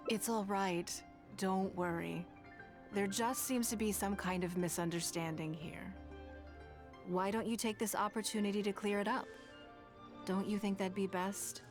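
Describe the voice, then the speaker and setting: Woman acting composed noble lady, medium pitch, measured, articulate, authoritative, video game, soft music behind. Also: steady tone, lightly acted. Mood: gentle, reassuring. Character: poised, composed adult lady of authority, kindly but stern.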